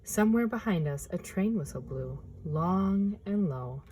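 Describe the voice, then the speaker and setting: A woman reads a picture book aloud outdoors in a forest, her tone hushed and expressive. Her voice is mellow and sits a little low, and at times she sinks to a whisper. A faint hiss of noise sits under her words. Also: unhurried. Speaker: nature preserve storyteller.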